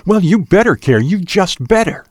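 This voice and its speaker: A man's voice: sits high, a little slow, vocal fry, no echo, studio quality, voice pushed up. A man voicing an insistent, jealous and frightened woman with a cutting manner in a storybook narration.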